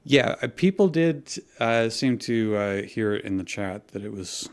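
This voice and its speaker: Male co-host, slow and creaky, casual, hesitant phrasing. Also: fairly low pitch, lively intonation. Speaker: co-host of a fanfiction reading show. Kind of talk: casual chat.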